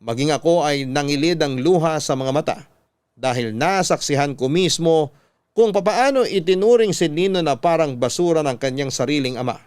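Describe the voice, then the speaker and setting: A man's voice: fairly high pitch, normal speed, darkish tone, clear, resonant tone, no room sound. A man narrating a scripted, dramatic first-person love story as a radio storyteller. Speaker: narrator reading a letter sender's story. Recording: dry studio voice over music.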